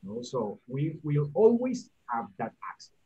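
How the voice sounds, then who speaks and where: Colombian man, English teacher, raspy voice, coaching tone, lo-fi call. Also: medium pitch, sing-song, a little slow, warm tone. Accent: Colombian Spanish. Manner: coaching, checks with "okay?". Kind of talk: classroom talk on a video call. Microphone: video call. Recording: dull, lo-fi call audio.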